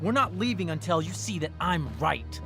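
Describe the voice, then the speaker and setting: A man's voice: sits high, lively intonation, normal speed, music in the background. A man playing an obsessive, self-righteous teenage boy in a video game, insistent and earnest. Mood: agitated, desperate. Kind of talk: dramatic video game voice acting.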